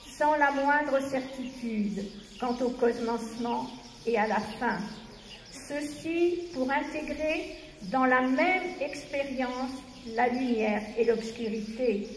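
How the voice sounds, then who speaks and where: Slow, high-voiced woman in an echoing hall. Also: slight background noise. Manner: measured, deliberate delivery with long pauses between phrases. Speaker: speaker at a tribute event. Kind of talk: slow formal reading of a prepared text. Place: large reverberant venue at a festival. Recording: echoey hall with long reverb, faint birdsong.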